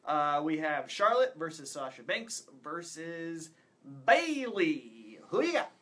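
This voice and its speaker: A man's voice: on the high side, sing-song, slow, bright, forceful tone. A man in his thirties co-hosting a podcast, chatting casually and making predictions. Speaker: podcast co-host. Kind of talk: casual wrestling predictions chat. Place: small indoor studio with acoustic foam panels.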